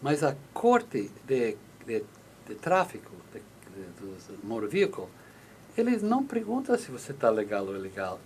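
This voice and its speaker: A man's voice: medium pitch, sing-song, moderate pace, darkish tone, a little hiss or hum. A man, a lawyer on a radio call-in show, explaining patiently and acting out short exchanges, with many restarts. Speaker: lawyer answering listener questions. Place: radio studio in the US.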